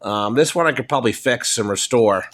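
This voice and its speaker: A middle-aged American man talking casually and off the cuff in a halting, matter-of-fact way, showing items at a table indoors on a handheld phone. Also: medium pitch, a bit bright. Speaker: hobbyist presenter. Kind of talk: casual off-the-cuff product show-and-tell. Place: indoors at a wooden table in a home. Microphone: handheld phone, speaker behind camera.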